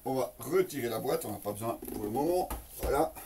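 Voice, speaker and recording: A man's voice: medium pitch, animated, fairly quick, darkish tone, far from the mic, a little hiss or hum. A man casually presenting an unboxing, explaining as he goes in an improvised, hesitant way and speaking directly to viewers. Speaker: product reviewer and presenter.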